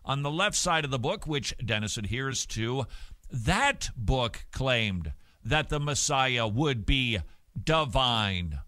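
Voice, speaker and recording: A middle-aged man hosting a talk show from a small studio, speaking with emphatic, joking energy into a boom-arm studio mic. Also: bright, forceful tone, no echo. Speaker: radio and TV show host. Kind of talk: talk show commentary with Bible reading. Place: small dim studio set.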